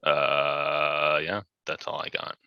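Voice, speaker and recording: Male podcast panelist, low-pitched with a very sharp bright edge, loose casual slangy talk. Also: completely flat delivery, takes it slowly, clean tone, dry recording. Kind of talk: casual chat about games and films.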